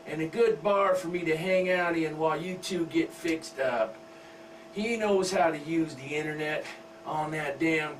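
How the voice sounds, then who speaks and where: A man's voice: fairly high pitch, fairly bright tone, pitched above the performer's own voice. A man playing a crude rich country husband. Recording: distant mic with faint hum.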